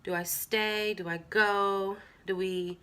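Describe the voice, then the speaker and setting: A woman's voice: mid-range voice, level tone, slow, sharp tone, clear, resonant tone. A woman with a stuffy nose, chatting casually to viewers as she reads tarot cards. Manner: chatty, filler-heavy, talks straight to viewers. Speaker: tarot reader. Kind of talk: casual tarot reading to camera.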